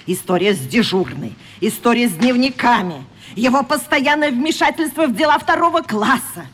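A woman's voice: fairly high pitch, animated, moderate pace, fairly bright tone, husky. An actress playing a strict, indignant schoolteacher in a radio play, lecturing her class in a stern, haughty manner.

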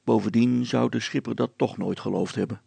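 A man's voice: mid-range voice, fairly even intonation, brisk, vocal fry, mellow, breathy edge, no echo. A man reading a children's story aloud as a scripted audiobook narration.

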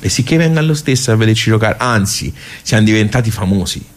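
A man's voice: lowish voice, animated, fast. A man playing a wry, nostalgic regular of a workers' club in a radio monologue, colloquial and rambling, with a Tuscan accent. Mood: chatty, confiding. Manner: rambling, colloquial, self-interrupting. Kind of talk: radio theatre monologue, conversational.

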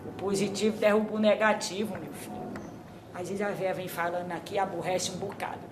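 A woman acting as a medium for an old grandmother spirit, giving slow, repetitive spiritual advice that trails off softly. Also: fairly low pitch, fairly even intonation, noisy background, close to an everyday voice. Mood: counseling. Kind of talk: spiritual counseling.

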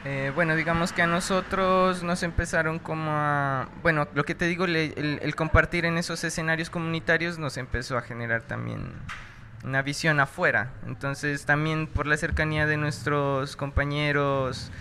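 Man from Pasto, musician, tense bright tone, halting casual delivery. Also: fairly high pitch, steady tone, slight background noise. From Pasto, Colombia. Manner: hesitant, searching for words. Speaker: band musician. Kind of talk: casual interview answers.

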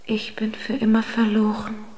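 A woman playing a tender, devoted water spirit who pleads in soft, breathy whispers. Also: on the high side, monotone, normal speed, noisy background, close to an everyday voice. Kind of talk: radio drama acting.

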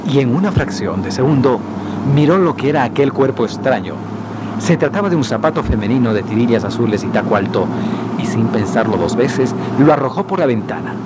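A man narrating a scripted radio drama in a deliberate, theatrical storytelling manner. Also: mid-range voice, expressive. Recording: noisy 1990s radio drama, street sounds behind.

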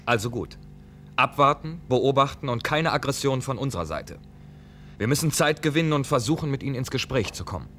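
An actor playing a commanding, grave spaceship chief in a science-fiction radio drama, with urgent, authoritative delivery. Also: male voice, mid-range voice, lively intonation, fairly quick, background noise, natural voice. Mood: controlled, resolute. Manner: authoritative, clipped orders, urgent.

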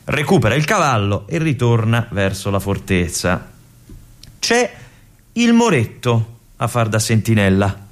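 An actor playing a brooding male army lieutenant in a theatrical monologue, with an intense, hushed delivery and phrases that drop to a whisper. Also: mid-range voice, sing-song, a little slow. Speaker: stage actor.

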